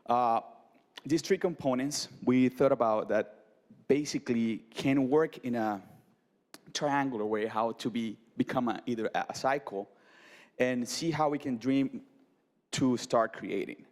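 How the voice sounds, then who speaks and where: Male presenter from Colombia, halting and filler-heavy, mid-pitched, big reverberant hall. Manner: halting, many fillers and restarts. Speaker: urban design presenter. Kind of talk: presentation to an audience.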